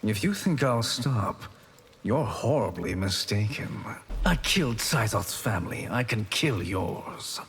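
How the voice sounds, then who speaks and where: A man's voice: lowish voice, lively intonation, a little slow, fairly bright tone, slight background noise. A man voicing a smug, amoral sorcerer villain in a video game, formal and mocking in manner. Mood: cold threat. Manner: mocking, smooth-tongued, formal. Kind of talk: video game fighting character dialogue.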